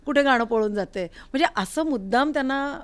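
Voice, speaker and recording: A woman's voice: high-pitched, lively intonation, brisk, clear, resonant tone. A woman hosting a conversational interview, asking questions and telling anecdotes. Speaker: interview host. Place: recording studio.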